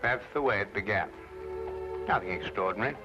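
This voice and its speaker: A man's voice: fairly low pitch, expressive, a little fast, close to an everyday voice. A man playing an urbane, courteous British detective and narrator in an old radio drama. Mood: measured, ominous. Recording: dull old radio recording, music behind.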